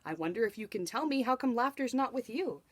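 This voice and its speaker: A woman reading a storybook, voicing an earnest, hopeful male court jester in expressive rhyme. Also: on the high side, fairly quick, voice pushed up. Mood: gentle, encouraging.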